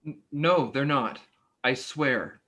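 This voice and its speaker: A woman's voice: very deep voice, slow, fairly bright tone, heavily acted. A woman acting an uneasy, evasive neighbor in a play reading, stammering and defensive. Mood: uneasy, apologetic. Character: evasive, uneasy, apologetic neighbor avoiding involvement. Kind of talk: staged play reading, naturalistic dialogue.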